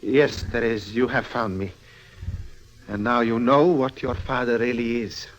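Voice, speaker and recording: An actor playing a crafty circus clown and smuggler in an old radio drama, sly and theatrical. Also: male voice, mid-range voice, fairly even intonation, measured pace, close to an everyday voice, rough recording. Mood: resigned. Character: crafty male circus clown, proud emerald smuggler, father.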